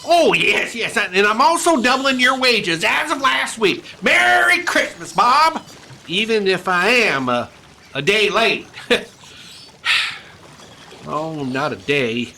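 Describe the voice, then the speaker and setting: An actor playing an excitable old Western miser turned joyful in a radio play, stammering and repeating himself. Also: male voice, sits high, slow pace, creaky, a little hiss or hum, exaggerated voice. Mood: joyful, emotional.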